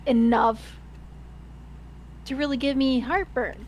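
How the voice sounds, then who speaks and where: High-voiced woman in her twenties, casual. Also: steady tone, slow. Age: 20s. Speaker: streamer chatting during a drawing stream. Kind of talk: casual chat. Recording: faint background noise and hum.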